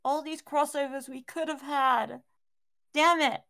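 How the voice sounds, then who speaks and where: A woman's voice: high voice, steady tone, a little slow, fairly bright tone, no room sound, studio quality. A woman co-hosting a casual film chat, reacting in an informal, gushing way with plenty of swearing. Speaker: podcast co-host.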